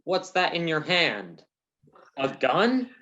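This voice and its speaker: A man playing a melodramatic soap opera lover in a radio parody, halting and dramatic. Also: fairly high pitch, very animated, pushed and bright, a little hiss or hum, heavily acted. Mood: earnest, dramatic. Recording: dull lo-fi recording.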